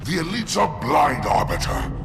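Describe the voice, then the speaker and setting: An actor playing a huge, zealous alien war chieftain in a video game, scornful and commanding, speaking in a grandiose way. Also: male voice, fairly high pitch, sing-song, moderate pace, gravelly, over loud music. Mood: zealous, menacing. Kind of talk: video game cutscene acting.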